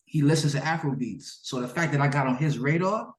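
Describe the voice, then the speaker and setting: A man's voice: fairly high pitch, a little fast, roomy sound. A man whose native language is Yoruba, a musician giving a casual interview in informal, slangy speech, often repeating himself.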